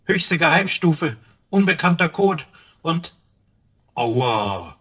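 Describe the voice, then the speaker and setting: A highish, bright-voiced computer sidekick for a sci-fi radio play. He is pompous and mock-servile, heaping grand flattery on his master. The voice has a husky edge. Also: slow, heavily acted. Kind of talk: German radio play acting.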